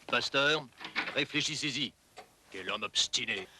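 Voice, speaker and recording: A man's voice: medium pitch, unhurried, background noise, natural voice. A man playing a stern, dismissive scientist and sceptical colleague in a dubbed educational cartoon. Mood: exasperated, disapproving. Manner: dismissive, emphatic.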